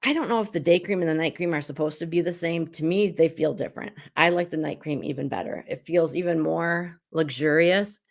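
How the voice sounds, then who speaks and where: Hoarse middle-aged lady, low gravelly voice, rambling chat as she shows off skincare stuff. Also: fairly quick, hardly any pauses. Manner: chatty and rambling, with frequent restarts. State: hoarse, recovering from illness. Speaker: beauty consultant and show host. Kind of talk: casual live skincare demo.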